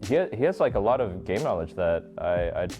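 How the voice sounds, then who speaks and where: Guy with a lowish voice, thoughtful relaxed interview chat, bit of music in the back. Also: fairly quick. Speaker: pro esports player. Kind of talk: casual interview talk. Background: background music.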